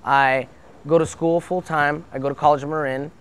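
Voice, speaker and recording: A man's voice: fairly high pitch, fairly even intonation, normal speed, clear, resonant tone. A young man from Brazil, speaking earnestly in a documentary interview and often stopping to restart his sentences.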